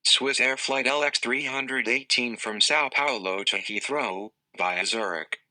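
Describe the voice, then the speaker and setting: A man's voice: mid-range voice, measured pace, pushed and bright, no room sound, low-quality recording. A man giving scripted pronunciation examples of news words and phrases.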